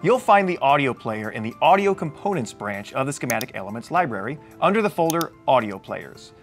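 A man's voice: mid-range voice, sing-song, fairly quick, soft background music. A man, presenting scripted instructional narration in a conversational, wry and emphatic manner. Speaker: training presenter.

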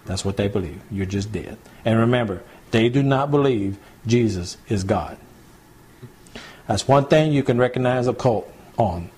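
A husky, mellow-voiced man with a drawl leads a home Bible study. He talks plainly and conversationally, circling back to repeat his points and checking in with the group. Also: lowish voice, moderate pace. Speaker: pastor. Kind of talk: home church Bible teaching. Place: small home gathering, recorded to CD.

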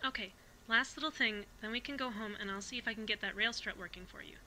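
A woman voicing a young intern who coaxes a robot in a soft, gently encouraging voice. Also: on the high side, fast talker, a little hiss or hum, rough recording. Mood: coaxing.

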